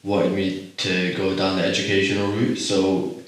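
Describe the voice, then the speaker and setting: A calm man in his twenties with a low voice, from Iraq. He speaks thoughtfully and pauses on ums, recorded from a distance in a very echoey room. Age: 20s. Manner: calm, reflective, many ums. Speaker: guest. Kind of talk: casual interview.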